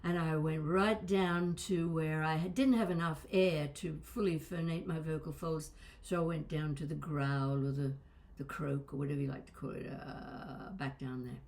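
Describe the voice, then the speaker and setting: Female voice coach, slightly low register, conversational lesson delivery with emphatic, drawn-out key words. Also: steady tone, gravelly, a little mellow. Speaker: voice and accent coach. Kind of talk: instructional demonstration of vocal exercises.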